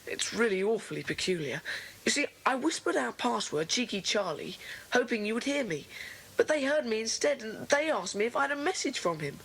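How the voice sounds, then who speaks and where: A woman playing an anxious, well-spoken schoolboy, earnest and polite with a husky voice, in a children's audiobook drama. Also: on the high side, sing-song, fairly quick, a bit bright, exaggerated voice, poor audio. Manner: earnest, polite, old-fashioned schoolchild phrasing.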